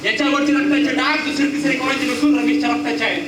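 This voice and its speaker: An actor playing a righteous newspaper journalist in a stage play, stern and declamatory, with a Maharashtrian accent. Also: male voice, very high-pitched, steady tone, fast. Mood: accusing. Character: principled male newspaper journalist, righteous accuser. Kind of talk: theatrical Marathi stage acting. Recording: distant, noisy, echoey stage recording.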